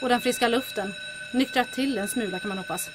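An actress playing a cool, self-possessed young wartime heroine in an audio drama, with a dry, sardonic delivery. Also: female voice, on the high side, noisy background, lightly acted. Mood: composed.

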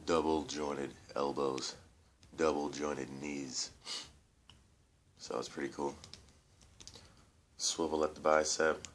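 A young man with an extremely low, deep voice, stuffed up and congested from allergies. He rambles loosely through a home toy review, full of fillers, and keeps calling his viewers "guys.". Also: level tone, takes it slowly, pauses often, a little hiss or hum. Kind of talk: casual toy review vlog. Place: room at home, indoors.

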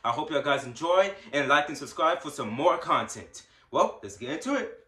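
A man's voice: a little high, expressive, normal speed, bright, forceful tone. A young man hosting a casual homemade video, recorded from a few steps away in a garage. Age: teens to young adult. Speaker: channel host and skit performer. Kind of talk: casual vlog intro. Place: home garage gym. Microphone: camera a few steps away. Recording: echoey garage, distant camera mic.

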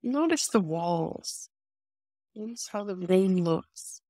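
A woman leading a guided meditation, giving soothing, unhurried instructions with pauses between phrases. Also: medium pitch, expressive, dark tone, dry recording.

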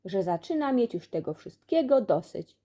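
A woman narrating a children's audiobook expressively, telling the story in an animated way. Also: medium pitch, normal speed. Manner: animated storytelling, acts out dialogue. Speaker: audiobook reader.

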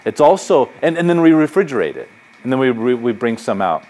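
Animated middle-aged man lecturing in a hall. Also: medium pitch, brisk, slight background noise. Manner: animated, gesturing, conversational and anecdotal. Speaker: psychology professor. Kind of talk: public lecture with audience questions.

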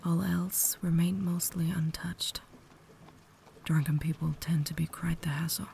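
A woman's voice: fairly low pitch, fairly even intonation, unhurried, vocal fry, slight background noise. A woman playing a cultured, caring vampire lady in a refined, whispered ASMR roleplay, holding herself back. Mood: refined, pleasant. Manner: refined, formal, soft-spoken whisper.

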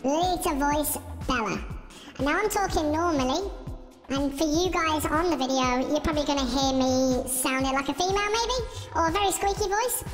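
A man voicing a squeaky young female character through a voice changer. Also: moderate pace, long reverb tail, faint music behind. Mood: casual, explaining. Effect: voice changer, pitched up female filter.

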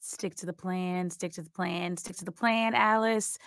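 A woman's voice: on the low side, fairly even intonation, moderate pace, fairly bright tone, no room sound, pitched below the performer's own voice. Female actor as insomniac American woman, restless racing thoughts, anxious repetitive muttering, short film voice-over. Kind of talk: performed interior monologue for a short film.

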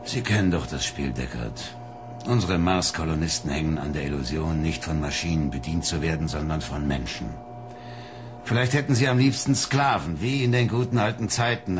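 Deep, blunt police boss. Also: male voice, moderate pace, music in the background. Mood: sardonic, grim. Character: gruff, world-weary male police inspector, commanding boss. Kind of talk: radio drama dialogue.

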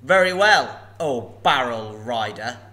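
Man putting on a sly old dragon, sneering, slow, mocking, bright edge. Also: mid-range voice, lively intonation.

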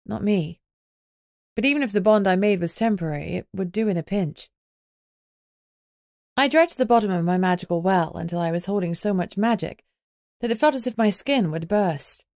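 A woman's voice: mid-range voice, normal speed, pauses often, a little mellow, no echo, studio quality. A woman reading scripted first-person audiobook narration.

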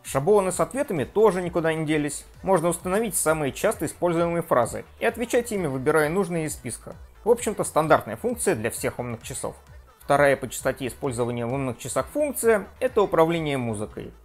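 Man in his 30s, tech vlog host, animated delivery, slightly high voice, soft music under. Reads a script at home. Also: fast. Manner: lively, self-mocking, trips on pronunciation. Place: home room with desk and gear.

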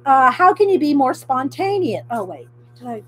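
A middle-aged woman hosting a casual craft chat from her home craft room, talking loudly and laughing often. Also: sits high, very animated, normal speed, a little hiss or hum. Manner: loud, chatty, laughs often. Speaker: craft video host. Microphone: overhead camera pointing down at desk.

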